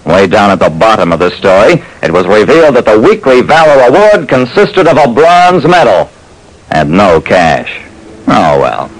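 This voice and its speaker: Wry, animated hard-boiled private eye. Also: male voice, fairly low pitch, normal speed, poor audio. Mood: dry, wry. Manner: wry, dramatic, clipped storytelling. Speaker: narrator and lead detective. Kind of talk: vintage radio detective narration. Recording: old radio recording with faint noise.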